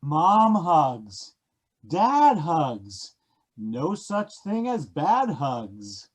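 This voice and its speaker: A man's voice: on the high side, huge pitch swings, extremely slow. A man reading children's verse aloud expressively. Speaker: reader in a readers theatre.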